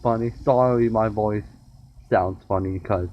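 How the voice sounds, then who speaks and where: A man's voice: lowish voice, fairly even intonation, unhurried. Rambling young man with a sore throat. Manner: rambling, repeats and restarts words. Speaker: TV show reviewer. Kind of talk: casual fan review vlog. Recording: dull lo-fi with faint noise.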